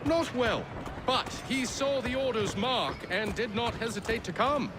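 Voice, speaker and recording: High-pitched, earnest young man, eager to serve. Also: unhurried, background noise. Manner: formal, eager, respectful. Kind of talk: video game cutscene acting.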